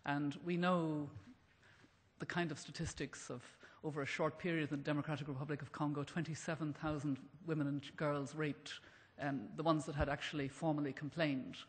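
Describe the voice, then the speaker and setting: A man speaks gravely on a conference panel, often hesitating mid-phrase. His voice is fairly high for a man and echoes through a large hall. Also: little pitch movement, a little fast. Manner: grave, hesitant, many fillers.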